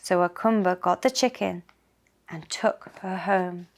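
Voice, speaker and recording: A woman narrating a children's folktale for a puppet show in a simple, deliberate storytelling manner. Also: on the low side, slight background noise.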